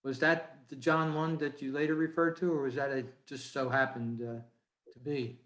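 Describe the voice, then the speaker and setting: A man's voice: mid-range voice, fairly even intonation, normal speed, a bit bright. A man casually asking questions as a club member on an echoey video call.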